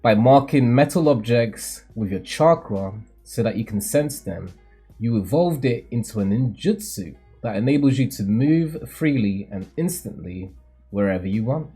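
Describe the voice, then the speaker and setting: Guy doing a solemn cryptic manga mentor, formal and measured, kinda husky, muted, music under it. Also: mid-range voice, expressive, mellow. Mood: solemn, instructive. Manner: theatrical, measured, formal delivery. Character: solemn, cryptic male mentor who foresees the future. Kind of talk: dramatic manga read-aloud.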